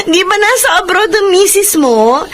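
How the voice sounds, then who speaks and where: A coy, teasing flirt in a radio drama. She talks slowly in a high, swooping voice, over clear noise. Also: very animated, a bit bright, exaggerated voice. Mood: flirtatious, teasing. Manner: coy, giggly, drawn-out suggestive phrasing. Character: flirtatious, teasing, forward woman inviting men to drink.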